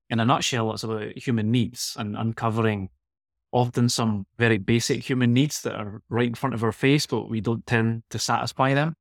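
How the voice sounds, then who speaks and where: A man's voice: mid-range voice, dry recording, studio quality. A Scottish man from Glasgow, a guest expert in a conversational interview, speaking thoughtfully and often restarting his phrases. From Scotland.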